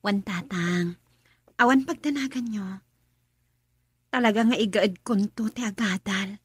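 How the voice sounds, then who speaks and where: A woman's voice: mid-range voice, a little slow, a little hiss or hum, close to an everyday voice. A woman acting a respectful, heartbroken young student in a radio drama. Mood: sad, resigned. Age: young adult.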